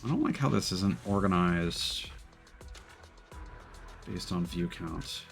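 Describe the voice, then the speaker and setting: A man's voice: sits low, lively intonation, slow, creaky, faint music behind. A man livestreaming a video game, chatting casually and commenting as he plays.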